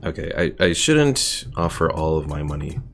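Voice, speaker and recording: A man with a deep, gravelly voice talks casually through a strategy game stream. He rambles, reads viewer messages and lore text aloud. Soft game music sits faintly behind him. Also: big pitch swings, normal speed. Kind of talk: casual gameplay commentary.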